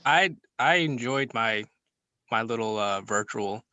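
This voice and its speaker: A man's voice: medium pitch, lively intonation, unhurried, sharp tone, no echo. A man giving his view in a casual group discussion among film students.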